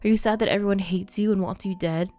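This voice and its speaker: A woman's voice: medium pitch, fairly even intonation, quick pace, warm tone, voice pushed up, lightly acted. A woman voicing a cruel village bully boy who taunts and sneers. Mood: sneering.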